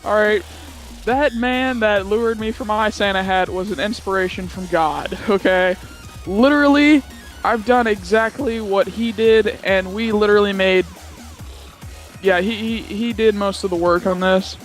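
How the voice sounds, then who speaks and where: Very high-voiced, giggly young man rambling. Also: measured pace, faint music behind. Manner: giggly, rambling, repeats himself. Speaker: gamer telling a story. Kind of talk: casual chat, storytelling.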